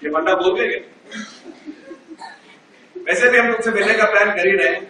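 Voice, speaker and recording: Bright-voiced older man in echoey hall. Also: sits high, moderate pace, a little hiss or hum. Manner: jovial, teasing. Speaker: stage actor reading letters. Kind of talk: amateur stage play, letter reading. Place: large auditorium, no sound system. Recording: echoey auditorium from far off.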